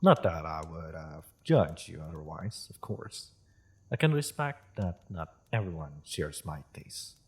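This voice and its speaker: A worldly, courteous half-dragon merchant acted by a man in a fantasy audio roleplay. The voice is deep and slightly husky, unhurried yet expressive in its rise and fall. The phrasing is formal and polite, with wry amusement. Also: exaggerated voice. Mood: courteous, hospitable. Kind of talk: scripted fantasy audio roleplay addressed to listener.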